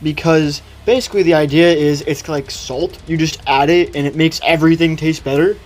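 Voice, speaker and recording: A man with a slightly high voice hosts a casual explainer. He speaks conversationally and shares his opinions freely. Light music plays underneath his commentary. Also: steady tone, normal speed. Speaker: video host. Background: background music.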